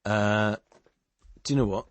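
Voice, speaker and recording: A man's voice: lowish voice, steady tone, a little slow, no echo. A man with a Welsh accent, a native Welsh speaker, chatting and teasing as a podcast panelist. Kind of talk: casual panel chat.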